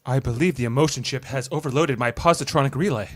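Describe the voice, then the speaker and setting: A woman's voice: a bass voice, fast, a little hiss or hum. A woman playing a polite, formal male android overwhelmed by new emotions, in a sci-fi radio theatre script read.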